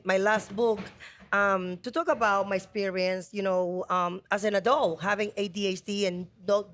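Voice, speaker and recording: Dominican-accented woman, mid-pitched voice. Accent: Dominican Spanish. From Dominican Republic. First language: Spanish. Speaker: panel guest, author. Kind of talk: personal account on a panel.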